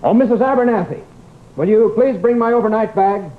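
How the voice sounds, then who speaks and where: An actor playing a fussy, sceptical scientist in old-time radio drama, speaking in a pompous, formal, curt manner. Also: male voice, sits high, a little fast, natural voice. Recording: muffled, noisy old radio recording.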